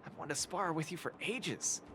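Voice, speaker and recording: Bright, brash young boxing champ. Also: male voice, a little high, normal speed, slight background noise. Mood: playful pleading. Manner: excitable, casual, teasing. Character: brash, cheerful young male underground boxing champion, eager and cocky. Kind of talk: video game voice acting.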